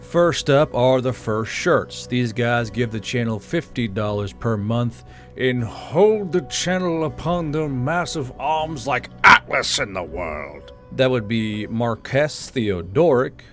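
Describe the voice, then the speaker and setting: A man narrating an audiobook in a plain, unhurried way, then casually thanking channel supporters. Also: medium pitch, animated, fairly bright tone, faint music behind. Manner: plain, unhurried storytelling; casual and blunt outro.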